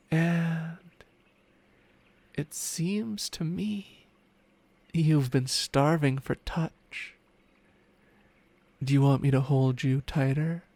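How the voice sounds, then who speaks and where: Man playing a slow, smug dragon familiar, ASMR. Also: on the high side, darkish tone, dry recording. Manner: slow, teasing, breathy murmurs slipping into whispers. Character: smug possessive ancient dragon familiar, male, seductive and doting. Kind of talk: ASMR roleplay, intimate personal attention. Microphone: close-up microphone with spatial panning.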